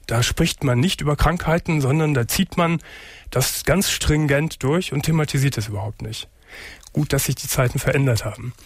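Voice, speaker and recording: A man hosting a late-night call-in radio show, hoarse from a sore throat, talking calmly and earnestly. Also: medium pitch, no echo, clean studio sound. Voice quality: husky. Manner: calm, earnest, encouraging.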